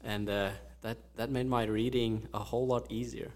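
A man whose first language is Afrikaans, co-hosting a faith podcast in a relaxed, reflective, friendly way. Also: mid-range voice, moderate pace. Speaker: podcast co-host. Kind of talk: casual faith podcast chat.

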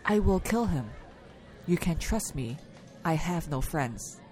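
Small, deadly gunslinger woman, slow, terse and icy, radio western, light music underneath. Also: deep voice, animated, mellow. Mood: cold resolve. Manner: terse, cold. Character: small, deadly cold female fugitive gunslinger. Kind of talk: weird-western radio drama acting.

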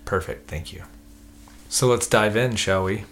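Low gravelly guy co-hosting a podcast, relaxed and chatty. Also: a little slow, slight background noise. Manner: relaxed, chatty, self-deprecating. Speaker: podcast co-host. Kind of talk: casual chat.

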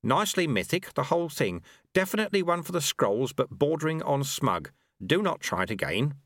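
A man voicing an ancient male monk sweeper who is fawning and sly, in a higher voice than his own. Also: sing-song, fairly bright tone, no room sound, studio quality, heavily acted. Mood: dry, knowing. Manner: obsequious, fawning, brisk. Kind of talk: audiobook character voice.

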